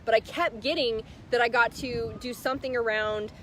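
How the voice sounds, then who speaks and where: A bubbly woman in her late thirties chats effusively on a live stream, her voice sitting a little high. She is recorded on a phone outdoors, with faint traffic humming behind her. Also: steady tone, moderate pace. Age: 30s. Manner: bubbly, effusive, spiritual talk. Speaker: life coach and live stream host. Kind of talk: casual live stream chat.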